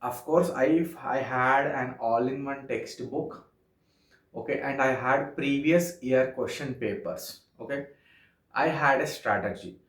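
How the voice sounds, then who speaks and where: A young man from Andhra Pradesh whose first language is Telugu, speaking English with a Telugu accent in an earnest, halting vlog monologue full of "okay" fillers. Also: mid-range voice, a bit bright. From Andhra Pradesh, India. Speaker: vlogger. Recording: echoey room.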